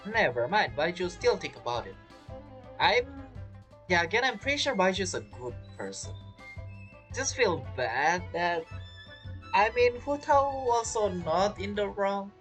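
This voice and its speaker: Animated man doing casual game commentary. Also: sits high, a little slow, faint music behind. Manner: rambling, repeats phrases. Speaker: game streamer.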